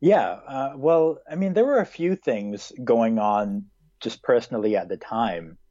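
A man's voice: mid-range voice, animated, measured pace, mellow, dry recording, dull audio. A man in his twenties who grew up in Hong Kong, answering interview questions in a casual, hesitant way with many fillers. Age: 20s.

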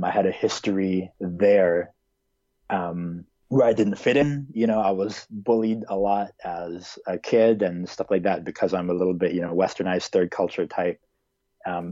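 A man's voice: low-pitched, moderate pace, warm tone, dry recording, muffled recording. A man in his twenties who grew up in Hong Kong, answering interview questions in a casual, hesitant way with many fillers. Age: 20s.

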